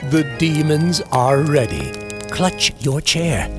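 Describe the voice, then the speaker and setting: A man narrating a scripted horror tale like an old-time radio host, theatrical, ominous and drawn-out. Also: a little high, lively intonation. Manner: ominous, drawn-out, dramatic delivery. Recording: music under the voice.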